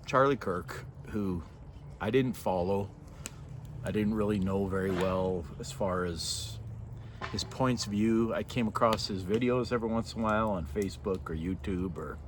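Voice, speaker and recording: An older man with a fairly low voice talks casually into his camera outdoors at night. He is reflective and sincere, pausing as he finds his words. Some background noise sits behind him. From born in Norway, moved to Canada as a child. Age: 50s to 60s. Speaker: vlogger. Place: outdoors by a fire in a yard at night. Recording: noisy outdoor ambience.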